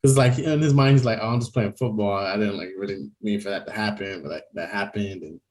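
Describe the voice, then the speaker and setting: A man's voice: on the low side, a little fast, a little mellow. Chatty laughing young man co-host. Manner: chatty, laughs often, many fillers. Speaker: podcast co-host. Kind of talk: casual chat.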